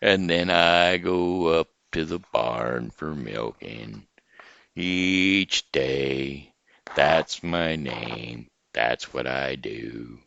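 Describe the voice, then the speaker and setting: A slow, low, drawn-out cow, calm and unhurried, as a man puts her on in a storybook telling. The words stretch out lazily with a gravelly edge. The sound is dry and somewhat dull. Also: dry recording, full character voice. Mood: placid. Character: slow, placid female cow.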